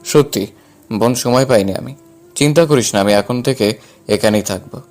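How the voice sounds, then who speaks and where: A man's voice: medium pitch, fairly quick, darkish tone, faint music behind, natural voice. A man playing a cheeky but caring young man, the younger son of the family, in an audio story. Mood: gentle, reassuring. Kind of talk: Bengali audio story acting.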